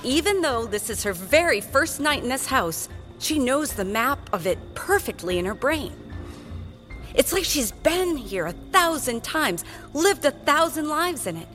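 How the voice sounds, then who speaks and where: A woman's voice: high voice, big pitch swings, unhurried, a bit bright. A woman narrating a scripted audio drama in a storytelling style. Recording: music bed under voice.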